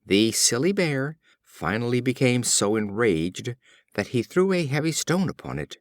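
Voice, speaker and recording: A man narrating short fables as an audiobook reader, in a measured, expressive storytelling style. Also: medium pitch, no room sound, studio quality.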